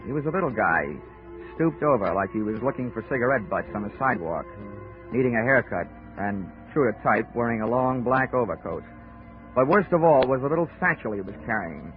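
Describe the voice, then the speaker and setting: An actor with an American accent playing a wry, hard-boiled investigator in a 1940s radio drama, dry and wisecracking. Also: male voice, mid-range voice, expressive, a little fast, lightly acted. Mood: dry narration. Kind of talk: 1940s radio acting, noir narration. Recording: tinny 1940s radio recording, music behind.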